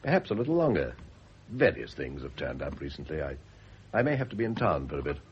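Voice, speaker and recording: An actor playing an urbane widower, courteous, dry and evasive, in classic radio drama style. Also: male voice, extremely low pitch, very animated, fast, exaggerated voice. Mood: casual, evasive. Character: urbane, evasive widower, dryly deflecting a woman's advances. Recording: dull old radio recording, faint hiss.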